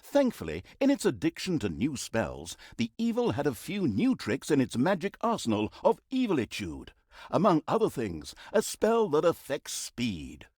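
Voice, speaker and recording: A man's voice: a little high, wildly swooping pitch, moderate pace, darkish tone, slightly rough, no echo, studio quality, exaggerated voice. A man playing a wry, pompous storyteller narrator in a fantasy game, with grandiose, sarcastic delivery. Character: wry, pompous male storyteller narrator mocking villains and heroes. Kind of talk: theatrical comic storybook narration.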